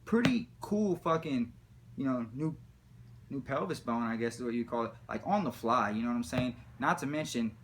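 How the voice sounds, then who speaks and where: A man's voice: mid-range voice, lively intonation, moderate pace, a little hiss or hum. A man talking casually in a rambling, profane, slangy way, like someone spinning comic stories. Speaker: storyteller.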